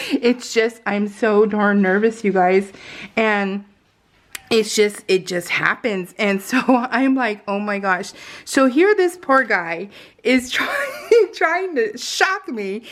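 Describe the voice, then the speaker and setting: Chatty woman vlogger, slightly high voice, laughs a lot, trips over her words telling a story from her craft room. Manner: animated, laughs often, nervous anecdote with many restarts. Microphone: voice-over mic.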